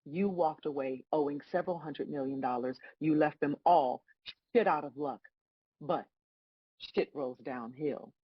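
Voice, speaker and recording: A woman playing God as a stern female celestial judge in a comedic table read, husky-voiced, dry, scolding and sarcastic. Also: deep voice, measured pace, lo-fi sound. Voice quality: husky, raspy.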